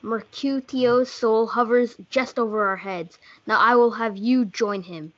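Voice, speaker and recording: A passionate, hot-blooded young lover played by a young woman in a stage play reading. The voice is fairly high and close, with little room sound, on a dull, lo-fi recording. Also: steady tone, a little slow, no echo, natural voice. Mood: fervent. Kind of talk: student readers theatre performance. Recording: muffled lo-fi home recording.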